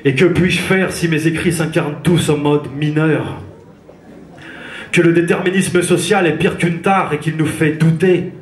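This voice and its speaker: A man from France delivers slam poetry live on a theatre stage, his rhythmic lines pressed out with intensity. His voice sits fairly high for a man. The sound carries the roomy echo of the hall. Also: steady tone, a little slow. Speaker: slam poet.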